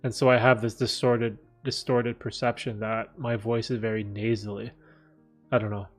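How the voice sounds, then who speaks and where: A man's voice: on the low side, level tone, normal speed, soft background music. A man hosting a casual livestream Q&A from a room with a bookcase, rambling with many fillers and restarts. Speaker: livestream host answering viewer questions. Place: room at home with a bookcase behind him.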